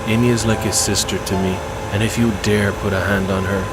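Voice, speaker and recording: A man's voice: on the low side, little pitch movement, fairly quick, very noisy, natural voice. A man voicing a bossy, foul-mouthed modern young woman in audio fiction, sarcastic and confrontational, with music behind him. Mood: hostile. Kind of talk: dramatic audio fiction acting. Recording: loud music bed under the voice.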